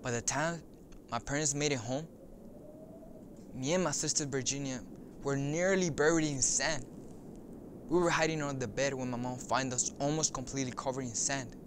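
A young man acting as a farmworker's son, recalling childhood in an earnest, measured monologue. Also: medium pitch, fairly even intonation, noisy background, lightly acted. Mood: earnest reminiscence. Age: young adult. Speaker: actor in an oral history dramatization. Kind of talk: dramatized first-person monologue.

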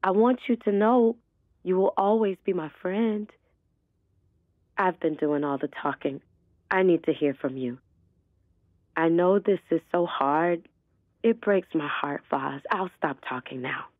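Gentle young woman, thin phone-like sound. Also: lowish voice, a little slow, long pauses, dry recording. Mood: apologetic, sorrowful. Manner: gentle, careful. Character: kind, apologetic young woman, gently firm girlfriend. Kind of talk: audio drama acting.

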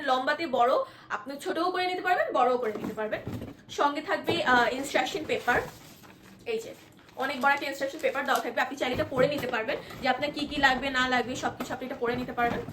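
A woman with a Bangladeshi accent and a high voice gives an informal product demonstration. She explains in a halting way and drops in English words. The recording is echoey, with some background noise. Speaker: product presenter.

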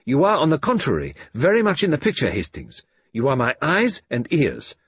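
A man's voice: fairly high pitch, huge pitch swings, moderate pace, a bit bright, breathy edge, pitched above the performer's own voice, heavily acted. A man voicing an elderly, frail detective, speaking in a precise, formal, sly manner. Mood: earnest.

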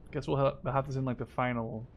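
A man's voice: medium pitch, fairly even intonation, fairly quick, faint music behind. Young man casually commentating a strategy game. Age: 20s. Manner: thinking aloud, muttering, casually profane. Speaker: gamer commentating own play. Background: game gunfire and artillery.

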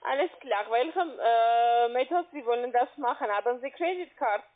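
A woman works through a telephone sales pitch as a call-centre agent. She is a non-native speaker with a foreign accent, and her voice is on the high side, squeezed through a narrow phone band. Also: normal speed. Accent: foreign, non-native German. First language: not German.

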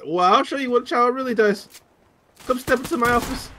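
Man acting a high-voiced, cheeky teen anime hero. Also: fairly quick, sharp tone, slight background noise. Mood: cheeky, defiant. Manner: exaggerated, apologetic, teasing. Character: meek yet cheeky, smitten teenage male anime hero. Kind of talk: improvised joke fan dub while gaming.

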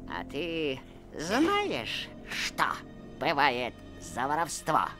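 Weaselly bossy skinny cartoon guard, drawn out sly questions, huge pitch swoops. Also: male voice, huge pitch swings, drawn-out words, over music, heavily acted. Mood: sly, suspicious. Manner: sly, interrogating, drawling. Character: skinny cunning bossy male town guard. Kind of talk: animated film acting.

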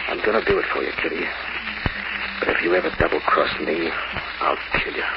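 Muffled and noisy like old radio, a young hard-boiled man on the make. He talks quickly and clipped in a cool noir style. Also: sits low, huge pitch swings, gravelly, heavily acted. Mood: intense, probing. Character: scheming, cool, hard-boiled young male lover and crook. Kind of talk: 1940s radio noir acting.

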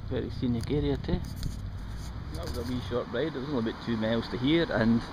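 A chatty middle-aged Scottish man talks into a handheld action camera while out on a bike ride. His voice sits at an ordinary middle pitch, and the outdoor camera audio catches clear traffic noise and ambient sound behind him. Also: fast. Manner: chatty, rambling, pointing things out. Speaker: vlogger. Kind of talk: casual commentary while cycling. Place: outdoors on canal paths and roadsides. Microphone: action camera held or mounted on the bike.

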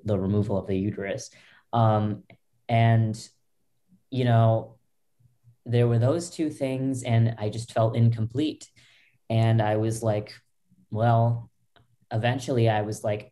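Young woman, low warm voice, lots of um and like, webcam call from her bedroom. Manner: loose, filler-heavy talk with many restarts. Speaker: podcast guest. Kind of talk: candid personal story. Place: small home bedroom. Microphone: laptop webcam, video call.

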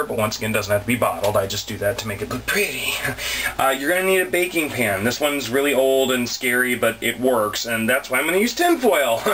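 A man's voice: medium pitch, background noise. Chatty man cooking in his kitchen. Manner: chatty, self-mocking, playful asides. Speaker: home cooking vlogger. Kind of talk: casual cooking demonstration. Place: small home kitchen, indoors. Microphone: handheld camera mic, close. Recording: home camera with kitchen clatter.

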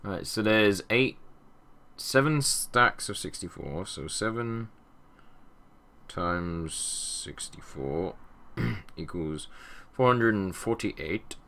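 A young man with a deep voice and a sore throat, chatting casually over gameplay in a rambling way that often trails off into mumbles. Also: lively intonation, takes it slowly, pushed and bright. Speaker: gaming channel host. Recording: audible background noise and keyboard clicks.

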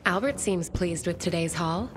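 An actress playing a bookish, flirtatious woman survivor in a video game, speaking in articulate, measured phrases. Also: fairly low pitch, lively intonation, loud music behind the voice, natural voice. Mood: thoughtful, curious. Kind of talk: video game cutscene acting.

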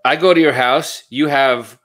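A man's voice: mid-range voice, a little slow, a bit bright. A middle-aged man in casual banter as a panelist on a video call from a room at home. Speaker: panelist on a toy collecting show.

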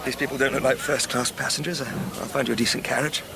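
Man acting an agitated, creaky-voiced English husband. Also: medium pitch, steady tone, quick pace, noisy background, close to an everyday voice. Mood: insistent. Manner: stammering, urgent, broken phrases. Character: agitated, shaken, self-important English husband and writer. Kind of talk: radio drama acting.

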